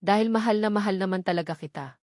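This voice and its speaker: A woman's voice: mid-range voice, fast, darkish tone, dry recording, clean studio sound, lightly acted. A woman with a Filipino accent voicing a devoted, anxious young mother in a narrated story. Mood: tender.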